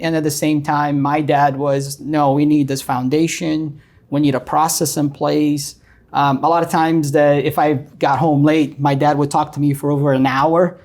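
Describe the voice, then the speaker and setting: A man's voice: a little high, a little mellow. A middle-aged man from Brazil with a Brazilian Portuguese accent, a guest in an interview, telling his story earnestly with many ums. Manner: frequent ums and uhs, earnest.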